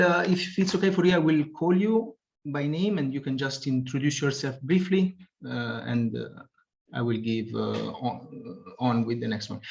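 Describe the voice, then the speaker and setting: A man's voice: mid-range voice, creaky. Hesitant man moderating a video call. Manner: hesitant, repeats words, polite thanks. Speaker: webinar moderator. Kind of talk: hosting an online meeting. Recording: muffled video-call audio.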